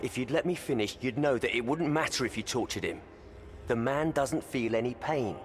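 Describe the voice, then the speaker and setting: Man as a headstrong knight with swinging pitch. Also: lively intonation, moderate pace, natural voice. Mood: frustrated. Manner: forceful, plain-spoken, urgent. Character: passionate, headstrong, loyal male dragon-riding knight. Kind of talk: scripted video game dialogue.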